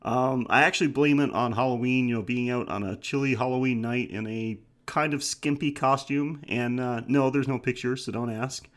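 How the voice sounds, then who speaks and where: Male podcast host, medium pitch, head cold, chatty and self-mocking. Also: fairly even intonation, normal speed, hardly any pauses. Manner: joking, self-deprecating. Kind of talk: casual chatty podcast hosting.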